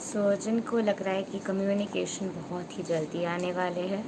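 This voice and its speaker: This is a woman giving a tarot reading to viewers, her voice carrying a gravelly, fry-laden texture at a middling pitch. She stretches her phrases slowly with pauses, then rushes through quick bursts. A clear, steady hiss of noise runs under the recording. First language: Bengali. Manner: slow, drawn-out phrasing with frequent pauses, switching into rapid bursts. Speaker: tarot reader and spiritual coach. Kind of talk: tarot card reading for an online audience.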